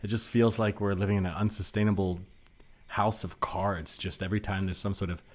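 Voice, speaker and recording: A man's voice: low-pitched, level tone, brisk. A man playing an earnest, wonkish libertarian in improvised comedy, explaining things in a pedantic manner. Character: earnest, wonkish anarcho-capitalist reading economics on park bench. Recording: noisy remote recording.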